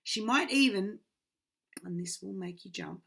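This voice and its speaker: Dramatic, gravelly woman reading aloud. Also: mid-range voice, unhurried. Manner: dramatic, swinging from loud to hushed. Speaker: reader. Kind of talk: reading a children's book aloud. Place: small bedroom indoors. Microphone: webcam.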